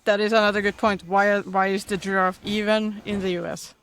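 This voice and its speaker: A woman's voice: medium pitch, flat delivery, brisk, fairly bright tone, a little hiss or hum. A woman with a light Finnish accent co-hosts a casual podcast in a giggly, playful way. She keeps chiming in to agree and talks over a video-call setup. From Finland. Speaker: podcast co-host.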